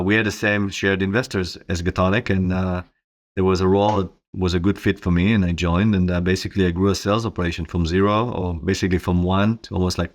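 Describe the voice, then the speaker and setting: A middle-aged man with an Israeli accent giving informal, conversational answers as an interview guest. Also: deep voice. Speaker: interview guest, company co-founder.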